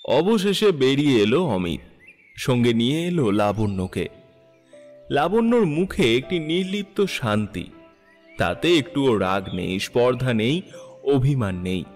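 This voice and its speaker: Guy narrating a classic story, dramatic and really lively pitch, reverby, music behind. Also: a little slow. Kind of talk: dramatic literary scripted narration.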